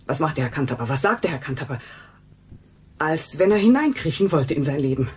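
A woman's voice: deep voice, lively intonation, brisk, a little mellow, breathy edge, exaggerated voice. A woman playing a defensive, agitated housekeeper in a radio crime drama, speaking in insistent, broken sentences. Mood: brooding, troubled. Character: defensive, agitated housekeeper protective of her brother. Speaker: actress in a crime radio play. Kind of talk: German radio drama acting.

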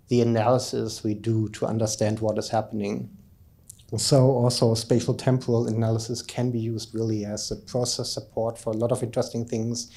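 Mellow-voiced man giving a conference talk. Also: medium pitch. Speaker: keynote speaker, academic researcher. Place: conference lecture room, indoors, at a lectern. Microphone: handheld microphone.

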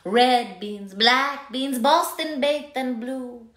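A woman voicing an excitable small male dog, in a high voice that sometimes breaks into shouts. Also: takes it slowly. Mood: excited. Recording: roomy echo.

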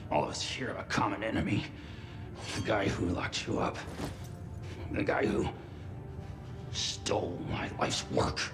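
An actor playing a scheming, vengeful middle-aged scientist in a video game, with a gravelly, menacing delivery. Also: male voice, low voice, big pitch swings, exaggerated voice. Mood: cold, vengeful. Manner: slow, deliberate, menacing. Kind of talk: video game cutscene acting. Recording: loud music behind the voice.

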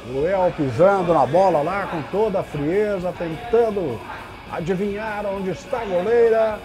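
High-voiced Brazilian football commentator. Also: male voice, a little slow, over music. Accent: Brazilian Portuguese. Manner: lively play-by-play calling. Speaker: match commentator.

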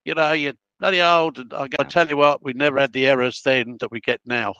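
An elderly man with an Australian accent talks in an unhurried, anecdotal way, often breaking off mid-sentence to restart. His voice sits at an ordinary pitch, and the recording is dry and slightly muffled. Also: fairly even intonation, pushed and bright, clean tone, no echo. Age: 80s. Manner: rambling, anecdotal, self-interrupting. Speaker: guest, project planner. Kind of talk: casual interview storytelling.

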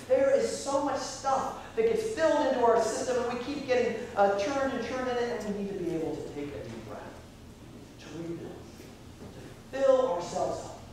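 High-voiced American priest in an echoey church. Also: male voice, far from the mic, a little hiss or hum. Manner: measured preaching, reading quotations slowly. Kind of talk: sermon. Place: large church sanctuary. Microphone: far camera at the back of the church.

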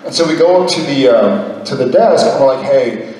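A young man on a convention stage, telling a rambling, animated anecdote into a handheld mic, recorded from the audience. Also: medium pitch, normal speed. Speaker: convention panelist. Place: large convention hall with a crowd. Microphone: handheld mic, recorded by a far camera. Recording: echoey hall recorded from far off.

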